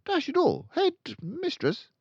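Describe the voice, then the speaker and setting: Man as a high, bewildered plump schoolboy. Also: huge pitch swings, measured pace, no room sound, dull audio, exaggerated voice. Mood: bewildered protest. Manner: hesitant, bewildered protest. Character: puzzled, nervous, plump eleven-year-old schoolboy.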